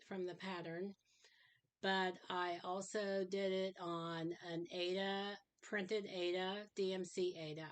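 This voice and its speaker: Middle aged lady chatting from her craft room, lowish voice, kinda dry throat, lots of ums. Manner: rambling, with frequent ums and uhs. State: dry voice after a sinus infection. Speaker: craft vlogger. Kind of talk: casual show-and-tell chat.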